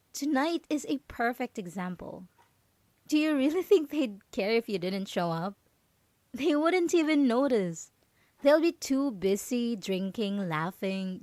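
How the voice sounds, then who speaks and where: A woman's voice: fairly high pitch, no room sound. A woman playing a possessive, overprotective roommate in an ASMR roleplay, stammering nervously as she pleads and coaxes. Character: possessive, overprotective yandere roommate, clingy and manipulative.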